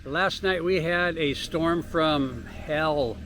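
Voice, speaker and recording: Older man vlogging over loud motorbike noise. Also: fairly high pitch, slow pace. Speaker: travel vlogger. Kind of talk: casual rambling vlog talk while riding a motorbike. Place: outdoors on a motorbike on country dirt roads. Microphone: small handheld or mounted action camera. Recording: dull audio, loud motorbike and outdoor noise.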